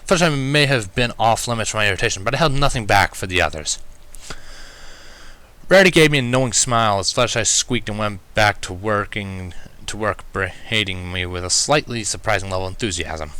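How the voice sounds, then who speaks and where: A man reading fan fiction aloud as an expressive first-person story narrator. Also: on the low side, fairly quick, clean tone.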